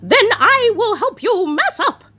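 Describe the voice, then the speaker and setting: Cheerful literal-minded housekeeper, very high, slow, swooping, squealy storybook voice. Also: female voice, big pitch swings, bright, forceful tone, full character voice. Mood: delighted. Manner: squealing, wide-eyed, drawn-out exclamations. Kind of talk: storybook read-aloud.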